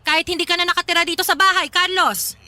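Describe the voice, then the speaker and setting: A woman's voice: very high-pitched, fairly even intonation, fast, sharp tone, heavily acted. A woman acting a harsh, domineering older mother in a radio drama, scolding and mocking. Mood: angry scolding.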